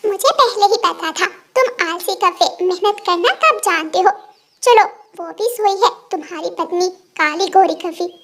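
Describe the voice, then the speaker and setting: A woman's voice: very high voice, measured pace, fairly bright tone, exaggerated voice. A woman voicing a hardworking, scolding female bird in a children's cartoon.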